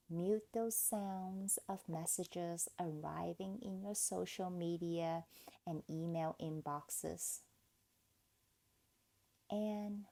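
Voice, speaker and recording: A woman leading a guided meditation in a gentle, soothing, deliberate manner, leaving pauses between phrases. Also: medium pitch. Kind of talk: guided meditation, scripted narration.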